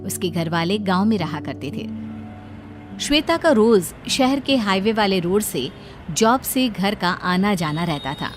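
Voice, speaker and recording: A woman's voice: mid-range voice, expressive, measured pace. A woman reading scripted story narration, with music behind her voice.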